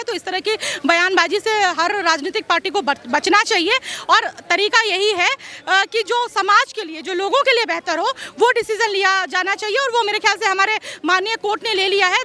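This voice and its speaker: Very high, bright-voiced woman giving her opinion. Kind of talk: street interview opinion.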